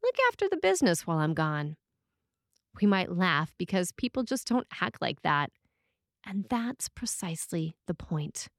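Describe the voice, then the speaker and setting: A woman reads a scripted sermon as a priest, phrasing it in a measured, deliberate way with pauses. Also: on the low side, sing-song, dry recording, clean studio sound. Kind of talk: scripted sermon, read aloud.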